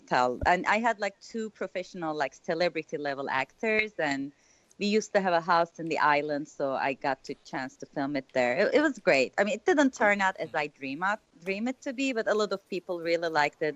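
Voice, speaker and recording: A young woman with a Turkish accent talks casually about her films, sprinkling in plenty of "like." Her voice sits a little low and sounds dry and close. The audio is somewhat muffled, like a remote call. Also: no echo. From Turkey. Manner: chatty, lots of "like" fillers. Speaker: filmmaker guest. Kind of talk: casual interview chat.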